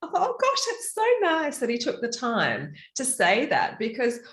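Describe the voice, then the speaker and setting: A woman with an Australian accent, an author chatting in a virtual panel, animated and full of fillers. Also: fairly high pitch, normal speed, reverberant.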